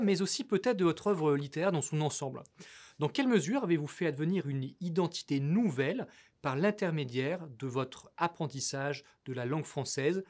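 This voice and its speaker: A middle-aged man reads out a long, carefully prepared interview question in a studio. His voice sits somewhat high for a man. He speaks formally and in a measured way. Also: a little mellow.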